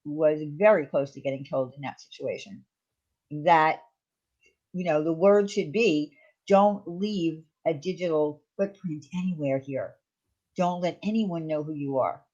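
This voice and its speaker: Woman, livestream host, lowish voice, casual chat with viewers, slightly dull audio. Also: measured pace, darkish tone.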